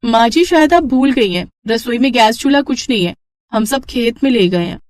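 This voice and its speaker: Woman voicing a high-pitched, whiny young daughter-in-law. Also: lively intonation, normal speed, a little mellow, no echo, natural voice. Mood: defiant. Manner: complaining, plaintive.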